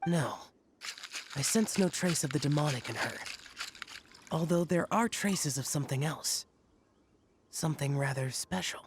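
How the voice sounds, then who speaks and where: A woman's voice: deep voice, measured pace, pauses often, noisy background. A woman playing an aloof, solemn young male demon slayer in a video game, speaking in a terse, formal, reserved way.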